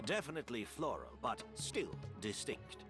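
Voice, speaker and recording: An actor playing a genial, modest older master perfumer in a video game, speaking in a measured, courteous way. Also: male voice, mid-range voice, huge pitch swings. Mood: explanatory. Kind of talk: scripted video game dialogue. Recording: game music behind the voice.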